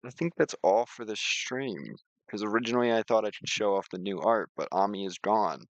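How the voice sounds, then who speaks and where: A man talks in a low register with plenty of vocal fry. The sound is dry and close, like a streamer at his desk. He explains his screen informally and wanders as he goes. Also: normal speed, no room sound, dull audio. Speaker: streamer and software developer. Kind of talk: casual screen-share walkthrough and chat.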